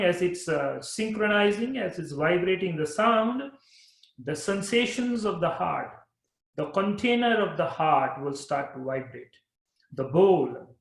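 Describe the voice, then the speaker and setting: A man leading a guided mantra meditation, talking slowly and repeating phrases in a soothing way. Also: high voice, gravelly, echoey room. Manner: slow, repetitive, soothing guidance. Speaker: meditation teacher.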